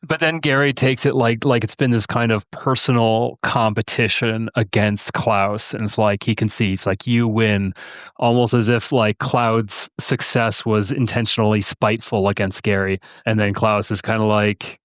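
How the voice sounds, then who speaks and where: A man's voice: on the low side, fairly even intonation, normal speed, dry recording. A man co-hosting a podcast, chatting casually. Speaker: podcast co-host. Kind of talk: casual chat. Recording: dull lo-fi sound, dry.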